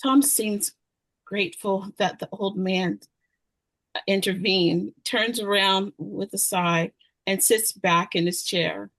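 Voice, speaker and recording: A woman's voice: medium pitch, steady tone, slow pace, fairly bright tone, no echo. A woman narrator reading scripted screenplay directions at a table read.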